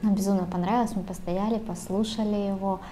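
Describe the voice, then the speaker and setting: A woman's voice: medium pitch, steady tone, fast, background noise. A woman in her thirties telling a casual, chatty travel story as a vlogger.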